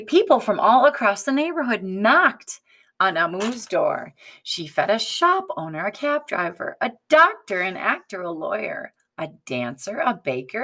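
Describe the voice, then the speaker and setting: A woman reading a picture book aloud like a teacher, expressive and instructive, addressing young children with questions. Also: mid-range voice.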